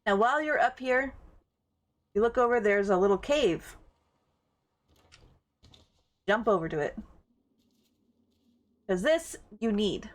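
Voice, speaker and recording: A woman's voice: mid-range voice, lively intonation, unhurried, long pauses. A woman giving casual walkthrough commentary as she plays a video game.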